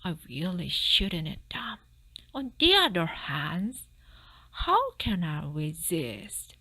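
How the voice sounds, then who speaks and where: A woman's voice: lowish voice, huge pitch swings, slow, pushed and bright, breathy edge, no room sound, exaggerated voice, poor audio. A woman voicing a sly, cheerful male fox who gushes and exclaims as he schemes in a storybook. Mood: tempted. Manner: exclaiming, gushing.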